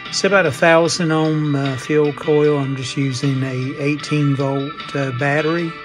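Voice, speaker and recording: A man giving casual, unscripted hands-on repair narration in a halting manner, often pausing mid-phrase. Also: a little high, fairly even intonation, music in the background. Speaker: radio restoration hobbyist.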